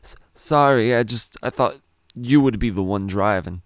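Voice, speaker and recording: A man's voice: fairly low pitch, moderate pace, no room sound, clean studio sound, pitched above the performer's own voice, lightly acted. A man voicing a meek, cowardly, nervous man who pleads and stammers in fear in a story reading. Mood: stunned, sheepish. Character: meek, cowardly, panicky man begging for his life.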